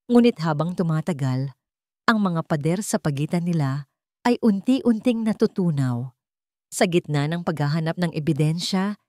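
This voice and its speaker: A woman narrating a scripted dramatic story with an expressive delivery. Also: fairly low pitch, dry recording, clean studio sound.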